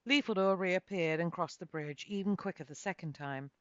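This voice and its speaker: A woman's voice: lowish voice, normal speed, a bit bright. A woman author reading her own fantasy novel as a dramatic first-person storyteller. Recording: muffled, echoey home recording.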